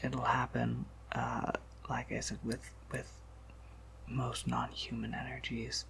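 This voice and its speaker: A man plays a vampire apothecary in an ASMR roleplay, whispering with a creaky, breathy edge. He takes his time, patient and gently reassuring, with wry little musings and soft hums. A faint noise sits behind the voice. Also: mid-range voice, monotone, slow, heavily acted. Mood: mildly apologetic.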